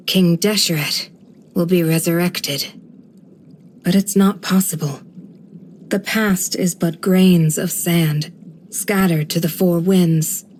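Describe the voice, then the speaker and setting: Game role: serene female village guardian, kindly and dutiful, unhurried speech, mid-pitched, light background noise. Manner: measured, gentle, formal storytelling delivery. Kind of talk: scripted video game character voice lines.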